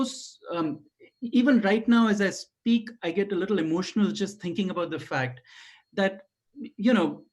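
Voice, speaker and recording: A man from India with an Indian accent, speaking earnestly on a video call, with many fillers and restarts, as he introduces a guest. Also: a little high. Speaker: webinar moderator, professor.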